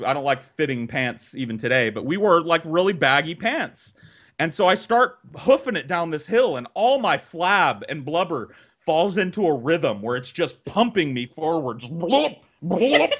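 A man in his thirties telling a personal story for laughs on a podcast, animated and dramatic, with swearing. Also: high-pitched. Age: 30s.

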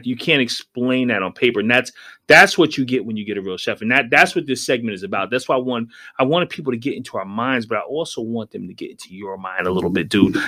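A man's voice: medium pitch, sharp tone. Emphatic, slangy male podcast host. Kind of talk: casual conversational podcast interview.

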